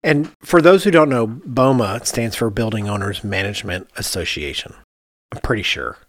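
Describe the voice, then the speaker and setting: A man's voice: lowish voice, animated, moderate pace, dry recording, clean studio sound. A man co-hosting a podcast, explaining things casually and conversationally, often thinking aloud. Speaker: podcast co-host, architect.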